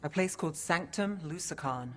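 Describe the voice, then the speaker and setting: An actress playing a guarded, wry, driven detective with a dry, clipped delivery in a game scene. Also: female voice, on the low side, expressive, moderate pace, fairly bright tone, a little hiss or hum. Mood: matter-of-fact. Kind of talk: cinematic video game acting.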